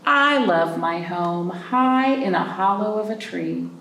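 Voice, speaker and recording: A woman hosting a library storytime, reading picture books and chatting to young children in an animated way with simple questions. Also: medium pitch, takes it slowly. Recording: roomy, distant mic with background noise.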